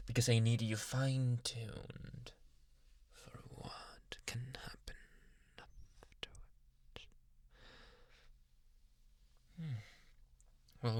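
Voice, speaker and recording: A man playing a possessive yandere psychiatrist in an ASMR roleplay, soft-spoken, polite and quietly menacing, with hushed, insinuating questions. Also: on the low side, no echo. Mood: soft, probing. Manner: slow, hushed, insinuating, many pauses and questioning hums. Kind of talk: ASMR roleplay, close whispering.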